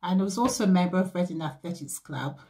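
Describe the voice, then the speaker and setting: A woman in her sixties, originally from Barbados, recalling her years as an athlete in a measured, reflective way with frequent pauses and ums. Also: fairly low pitch, lively intonation, warm tone. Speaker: former athlete sharing memories. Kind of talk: reflective personal recollection.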